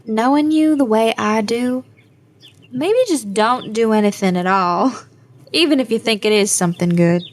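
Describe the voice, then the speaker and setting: A woman playing a level-headed, guarded young adult daughter in an audio drama, measured and dry in manner. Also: a little high, close to an everyday voice. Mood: dry, firm.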